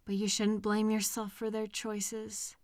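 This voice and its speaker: A woman playing a caring, protective partner in an intimate comfort audio roleplay, speaking gently and earnestly in a reassuring, conversational way with hesitant pauses. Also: mid-range voice, completely flat delivery, no room sound, studio quality, lightly acted. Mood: soothing. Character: caring, protective partner consoling a hurting loved one.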